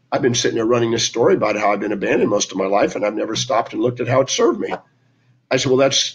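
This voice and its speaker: A man with a raspy, hoarse voice giving a motivational talk, telling stories about his clients and quoting their conversations. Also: mid-range voice, poor audio. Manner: storytelling, quoting clients in dialogue. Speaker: speaker on his live stream.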